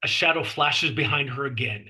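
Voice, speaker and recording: A man's voice: medium pitch, normal speed, bright, forceful tone, poor audio. A man reading screenplay action lines and scene headings as narrator at a table read. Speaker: stage directions reader.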